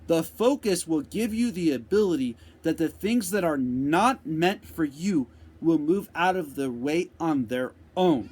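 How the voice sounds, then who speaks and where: A man giving an unscripted motivational talk, speaking slowly and deliberately with repeated phrases, answering a viewer's question. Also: on the high side. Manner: slow, deliberate, repetitive phrasing.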